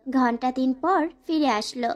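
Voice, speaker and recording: A woman's voice: high voice, moderate pace, dull audio, lightly acted. A woman playing a frightened, pleading young student bride in a dramatized first-person romantic audio story. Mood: sad, confiding. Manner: plaintive, pleading.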